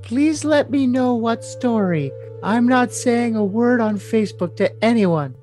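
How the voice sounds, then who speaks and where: A man's voice: very high voice, animated, measured pace, a little mellow. A man voicing a lovesick, scheming young woman in a cutesy, coy, overly dramatic comic reading of messages. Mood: giddy, affectionate. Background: music.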